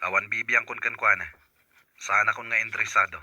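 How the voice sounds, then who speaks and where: A man's voice: lowish voice, fairly even intonation, a little fast, bright, forceful tone, no echo. A man playing a caring, plain-spoken father in a radio drama, in a dull, narrow recording. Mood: curt. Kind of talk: Ilocano radio drama acting.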